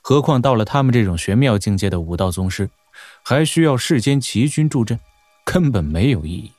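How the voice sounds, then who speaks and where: A man's voice: medium pitch, expressive, fairly quick, darkish tone, dry recording, studio quality, lightly acted. An actor playing a candid martial-world man in an audio drama, talking casually as he explains. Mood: reassuring, explaining. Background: background music.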